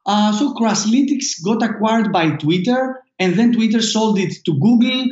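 A man with a Greek accent, a founder answering interview questions in a halting way, full of fillers and restarts. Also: sits high, lively intonation, a little mellow. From Greece. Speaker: startup founder guest. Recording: echoey, dull lo-fi sound.

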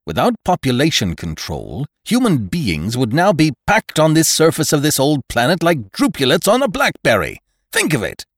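An exuberant old doctor acted out by a male narrator lectures in grand, emphatic style. His pitch climbs and leaps as he preaches order like a pompous storybook orator, in a dry, close sound. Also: huge pitch swings, normal speed, hardly any pauses, no echo, heavily acted. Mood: severe, lecturing.

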